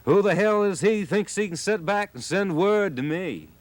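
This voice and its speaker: A man's voice: medium pitch, very animated, moderate pace, darkish tone, no room sound, natural voice. A man voicing a feisty old fisherman who talks bluntly and cusses defiantly.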